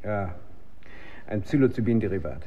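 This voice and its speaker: Tormented scientist, swinging intonation, dull recording. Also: male voice, lowish voice, animated, normal speed, husky. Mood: subdued, weary. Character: tormented male research chemist losing his grip on reality. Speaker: radio drama actor. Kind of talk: German radio drama acting. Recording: dull, band-limited radio recording.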